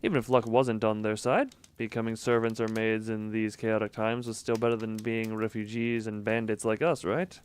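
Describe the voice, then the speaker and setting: A man's voice: fairly low pitch, fairly even intonation, normal speed, hardly any pauses, smooth. A man streaming a visual novel, reading the narration aloud and breaking off for casual asides and small laughs. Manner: reads narration evenly, breaks off for chuckling asides.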